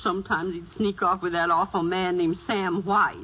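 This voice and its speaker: An actress playing a nagging, distraught farm daughter with an American accent and folksy rural phrasing in a vintage radio drama. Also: female voice, on the low side, flat delivery, normal speed, lightly acted. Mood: worried, defensive. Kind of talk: 1950s radio drama acting. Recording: noisy vintage radio recording.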